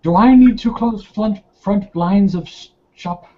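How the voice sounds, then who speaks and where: A man's voice: high-pitched, measured pace, mellow, highs cut off, voice pushed up. A man playing a fretful restaurant keeper in a tabletop game, speaking in clipped phrases with dropped words. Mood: worried. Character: fretful restaurant keeper worried about damage and repairs.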